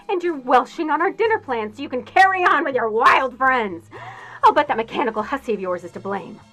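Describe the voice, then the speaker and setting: High-pitched, demanding, nagging girlfriend. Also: expressive, normal speed, a bit bright, faint music behind. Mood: demanding, scornful. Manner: accusing, imperious. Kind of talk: audio drama acting.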